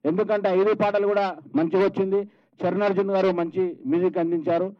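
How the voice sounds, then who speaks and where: Male film producer, Telangana Telugu accent, slightly high pitch, conversational stage speech, lo-fi event audio. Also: flat delivery, fast talker, no echo. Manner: calls people out by name, conversational. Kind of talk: informal public thank-you speech at a film event. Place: film trailer launch stage.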